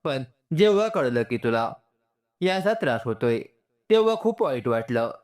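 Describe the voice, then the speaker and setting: A man's voice: mid-range voice, sing-song, normal speed, a little mellow, lightly acted. A man voicing a polite, friendly young man in a story, casual and sincere, mixing in English words. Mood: sincere, apologetic.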